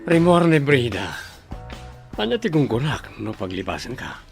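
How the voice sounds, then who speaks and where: A man's voice: medium pitch, sing-song, moderate pace, gravelly, soft background music. An actor playing a sly, opportunistic man bargaining with a captive, with an Ilocano Filipino accent, in a radio drama. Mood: sly coaxing.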